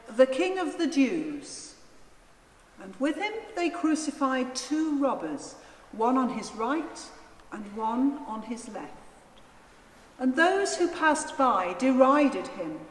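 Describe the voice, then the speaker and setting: Slow, expressive woman reading scripture. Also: high voice. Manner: measured, deliberate. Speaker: scripture reader, narrator. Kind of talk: solemn dramatised Gospel narration. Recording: echoey church hall, faint noise.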